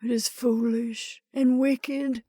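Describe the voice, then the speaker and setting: A woman's voice: on the high side, slow pace, dry recording. Casting: female narrator as frightened elderly husband in a storybook, faltering and aghast, recorded dry. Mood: fearful, faltering. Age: older. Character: fearful, tender old man, shaken husband and father.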